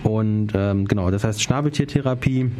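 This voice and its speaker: An eager young man in his twenties talks as an interview guest, rambling and searching for words. His voice sits a little low and sounds mellow, with a faint hiss behind it. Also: moderate pace. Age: 20s. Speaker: guest, writer and poet. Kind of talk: casual interview chat.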